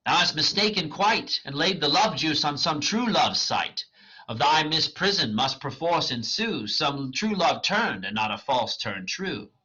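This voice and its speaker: A man's voice: on the high side, lively intonation, a little slow, bright, forceful tone, husky, voice pushed up, full character voice. Oberon, a man reading as a commanding fairy king. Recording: dull lo-fi recording.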